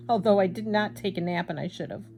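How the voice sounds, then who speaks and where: An older woman chatting casually and rambling as she embroiders at home, self-deprecating, with a loud projecting voice. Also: mid-range voice, a little fast, noisy background. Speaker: craft vlogger. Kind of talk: casual chat while embroidering.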